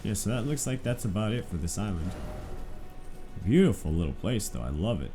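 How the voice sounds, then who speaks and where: A man's voice: lowish voice, very animated, a little fast, mellow. A man with a nasal, congested voice from allergies, giving casual commentary as he plays a video game. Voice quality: stuffy-nosed, nasal. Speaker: video game player and narrator. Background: music.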